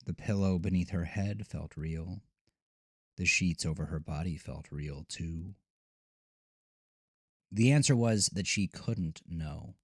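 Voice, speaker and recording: Very deep, slow-paced male horror narrator. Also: darkish tone, dry recording, clean studio sound. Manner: deliberate, dramatic storytelling. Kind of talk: scripted horror audio drama narration. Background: music.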